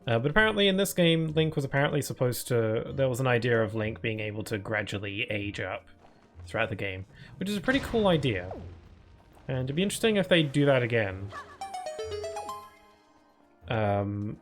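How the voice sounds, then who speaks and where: A man chats casually through a live game stream, rambling with frequent fillers and self-corrections. His voice sits in an ordinary male pitch and carries what he calls a permanent cold voice. Faint game music plays quietly behind him. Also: very animated, moderate pace, long pauses. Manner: rambling, loose with many fillers and restarts; answers viewer chat aloud. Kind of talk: casual live-stream chat while playing.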